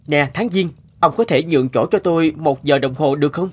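Husky, kindly young cartoon spirit. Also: male voice, fairly high pitch, a little fast. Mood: concerned. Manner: gentle, reassuring. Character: kindly, protective young male month spirit. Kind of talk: cartoon voice acting. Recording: background music under the voice.

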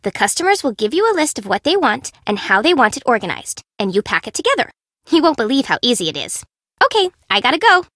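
A sassy young woman from a bento shop in a video game, pitched high with big, animated swings. She is bubbly and teasing, quick to turn sarcastic, and sounds dry and close. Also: dry recording. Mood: breezy, friendly. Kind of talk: video game character voice acting.

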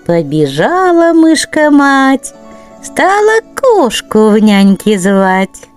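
A woman's voice: high-pitched, sing-song, slow pace, soft background music. A woman storyteller narrating children's verse expressively.

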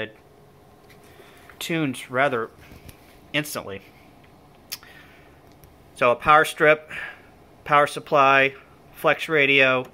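A man's voice: mid-range voice, bright, forceful tone. A man giving an informal, slightly hesitant hands-on equipment walkthrough, thinking aloud as he goes. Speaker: amateur radio hobbyist. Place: indoor room with equipment on folding tables. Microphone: handheld phone or camera he is holding.

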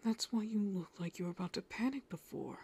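A woman voicing a gentle, caring teenage boy in an anime audio roleplay, soothing a partner in a breathy voice that often drops to a whisper. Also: mid-range voice, lively intonation, a little hiss or hum. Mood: tender concern. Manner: soothing, tender, slow.

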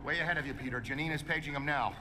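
An actor playing an eager, gung-ho ghost-hunting scientist in a video game, explaining things with technical enthusiasm. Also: male voice, fairly high pitch, racing, noisy background, close to an everyday voice. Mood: confident. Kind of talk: animated game dialogue. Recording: music behind the voice.

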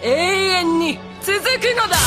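A woman voicing a proud, regal pony princess in a dubbed cartoon, with pompous, archaic speech. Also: high voice.

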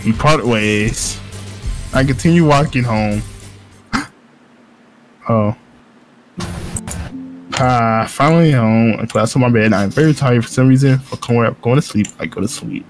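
A man reading game narration aloud in a casual group playthrough, jokey, excitable and prone to swearing. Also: medium pitch, unhurried, pauses often. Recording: music under the voice. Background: game music.

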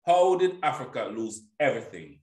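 A man's voice: mid-range voice, sing-song, moderate pace, darkish tone. A middle-aged man hosting a casual, opinionated interview, emphatic and repeating himself for stress. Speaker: interview host. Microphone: boom-arm microphone, headphones. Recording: roomy, echoing home microphone.

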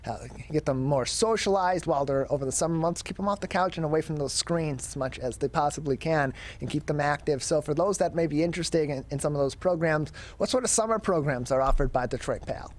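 A man's voice: fairly high pitch, brisk, hardly any pauses. A young man hosting a broadcast interview show, speaking into a studio microphone. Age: young adult. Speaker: show host. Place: TV studio with curtain backdrop. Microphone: studio mic on a boom arm.

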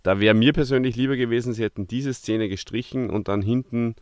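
A man giving his opinions in a casual podcast discussion, in a measured, halting way. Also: mid-range voice, steady tone, darkish tone, no echo, studio quality. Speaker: podcast reviewer.

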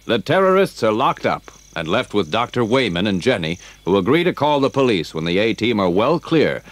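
A man's voice: on the low side, very animated, moderate pace, dry recording. A man narrating a scripted children's adventure story as its storyteller. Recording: old story cassette, music underneath. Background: music.

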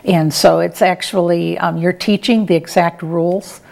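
Middle aged lady getting interviewed, lowish creaky voice, explains things plainly, kinda halting. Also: steady tone, darkish tone. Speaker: interview guest, retired air traffic controller and professor. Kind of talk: conversational interview answers.

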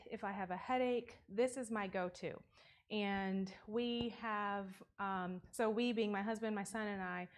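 A young woman talks through a home health treatment at the front of a church. She speaks in a practical, conversational way and mentions her own habits, and her voice sits a little high. She is heard from a distance across the room. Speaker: health seminar presenter. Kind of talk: casual demonstration talk. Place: church sanctuary, standing at the front.

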